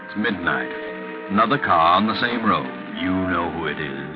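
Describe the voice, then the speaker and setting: An actor playing a sinister all-knowing storyteller in a 1940s radio thriller, with suspenseful, clipped phrasing. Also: male voice, deep voice, lively intonation, moderate pace. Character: sinister male omniscient storyteller addressing characters. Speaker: narrator. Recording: muffled 1940s radio recording with music.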